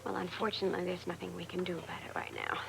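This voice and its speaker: An actress playing a composed, supportive, gently wry woman doctor in a television soap, with measured delivery. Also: lowish voice, flat delivery. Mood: reassuring. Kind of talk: television soap opera acting. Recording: clearly noisy television soundtrack.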